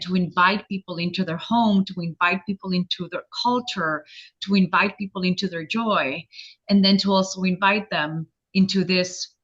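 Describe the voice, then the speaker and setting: A woman's voice: fairly low pitch, fairly bright tone. A woman born and raised in Argentina, who runs a theater company, speaking earnestly with many "you know"s. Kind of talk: interview answers. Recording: dull lo-fi audio.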